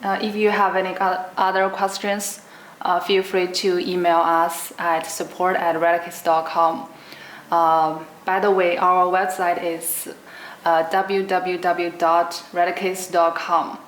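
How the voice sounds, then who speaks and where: A young woman giving an unscripted product demonstration in short, simple, halting phrases. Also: on the low side, flat delivery. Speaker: product demonstrator for an electronics brand. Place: indoor room at a table with green backdrop. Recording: roomy echo, clearly noisy background.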